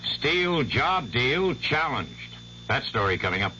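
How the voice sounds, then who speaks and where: A man reading the hourly network news as newscaster in a measured, authoritative way. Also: mid-range voice, big pitch swings. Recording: muffled old radio broadcast with faint hiss.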